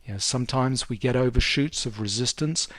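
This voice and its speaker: A man's voice: medium pitch, a little fast, fairly bright tone, dry recording. A man giving a casual, emphatic market commentary as a trading instructor, talking through a screen share in an informal way.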